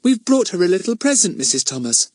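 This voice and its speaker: Man as a prim, sensible schoolgirl, raised pitch. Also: sing-song, a little fast, no room sound, studio quality. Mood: polite. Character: sensible, polite older schoolgirl, a little prim.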